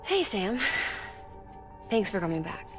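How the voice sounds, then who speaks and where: An actress playing a calm, practical female scientist, explaining things plainly in a cinematic video game. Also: medium pitch, a little slow, faint music behind. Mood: calm, explanatory.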